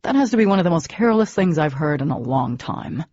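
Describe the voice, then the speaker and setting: A woman voicing a gruff, protective young man who talks in a blunt, sardonic way. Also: deep voice, very animated, quick pace, warm tone, no echo, lo-fi sound.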